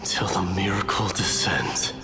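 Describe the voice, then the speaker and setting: An actor playing a stoic, mighty warrior lord in a video game, making stern, weighty declarations in archaic wording. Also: male voice, low-pitched, a little slow, in a whisper, music in the background. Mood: resolute. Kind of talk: dramatic video game acting.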